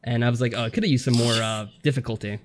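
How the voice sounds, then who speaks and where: A man's voice: medium pitch, fairly even intonation, rapid-fire, a little hiss or hum. A man talking casually as a podcast co-host, rambling through anecdotes and acting out little asides. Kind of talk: casual chat reviewing games.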